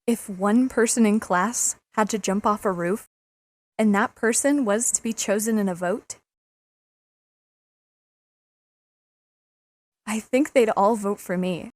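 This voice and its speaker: A woman's voice: medium pitch, normal speed, dry recording, close to an everyday voice. A woman playing a gloomy, self-pitying teenage outcast in an anime fan dub, with a brooding, introspective delivery.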